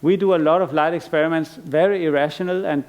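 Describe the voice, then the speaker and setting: A man's voice: fairly high pitch, fairly even intonation, quick pace, darkish tone, clear, resonant tone. A middle-aged man from Iceland giving a conference lecture as an artist, thoughtful and rambling, with frequent restarts and fillers. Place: lecture stage.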